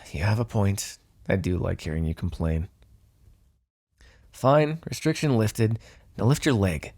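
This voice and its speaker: A man's voice: lowish voice, animated, measured pace, long pauses, darkish tone, husky, no echo, studio quality, exaggerated voice. A man playing a smug, teasing vampire in an intimate ASMR roleplay, sardonic and flirtatious, possessive but fond. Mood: impatient, flirtatious. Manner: sardonic, flirtatious, swearing, sighing.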